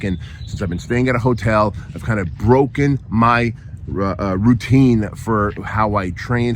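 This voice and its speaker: Man, hoarse, lowish voice, emphatic motivational podcast host, repeats himself, faint background hiss. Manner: emphatic, repeats phrases, frequent tag "right?". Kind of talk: motivational monologue.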